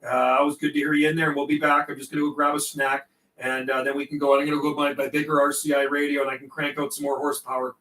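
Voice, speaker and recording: Bright-voiced man chatting on a livestream. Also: fairly high pitch, little pitch movement, fast talker, rough recording. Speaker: CB radio hobbyist, livestream host. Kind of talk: casual livestream chat and CB radio calls.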